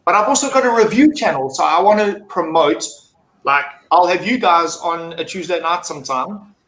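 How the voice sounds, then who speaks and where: A man's voice: a little high, expressive, moderate pace, a bit bright. A man with an English South African accent, chatting loosely as a guest on a live stream, rambling and swearing casually. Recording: roomy mic with faint noise.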